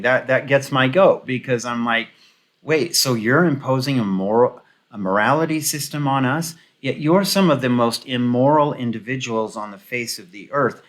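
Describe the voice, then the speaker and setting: A man hosting an off-the-cuff political commentary video, giving opinions in a halting, rambling, casual way. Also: medium pitch, a bit bright.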